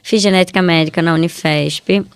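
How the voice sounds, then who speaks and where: A woman's voice: lowish voice, steady tone, brisk, clean tone, dry recording. A woman with a Brazilian accent, a medical geneticist giving conversational answers as an interview guest.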